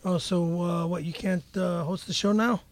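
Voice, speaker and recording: A man's voice: high voice, steady tone, normal speed, no room sound. A man doing improvised comedy, crude and combative, stammering and swearing. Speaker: comedy podcast regular.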